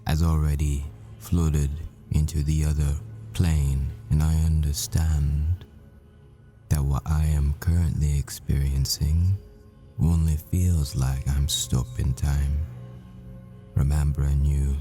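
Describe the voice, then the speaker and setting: A man playing a grieving, devoted professor boyfriend at the world's end in an intimate audio roleplay, speaking in hushed, halting, brooding phrases. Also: a bass voice, level tone. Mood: anguished, defiant. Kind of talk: intimate ASMR boyfriend roleplay, dramatic monologue. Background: music.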